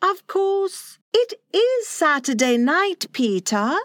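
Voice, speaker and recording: A woman's voice: high voice, big pitch swings, slow, a bit bright, no echo, clean studio sound, voice pushed up, heavily acted. A woman voicing a prim young girl who plays at being mother, tender and easily hurt, in storybook narration. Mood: tender, gratified. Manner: prim, emphatic, grown-up airs.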